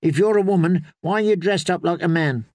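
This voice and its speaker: A man's voice: high-pitched, brisk, darkish tone, dry recording, voice pushed up, close to an everyday voice. A man voicing a weary, wary ten-year-old innkeeper girl in a storybook, with blunt, questioning speech.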